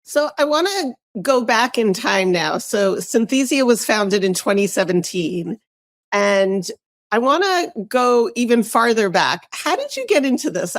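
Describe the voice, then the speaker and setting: A woman's voice: mid-range voice, no echo. A woman hosting a podcast, asking questions in a conversational way. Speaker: podcast host, interviewer. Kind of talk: conversational interviewing.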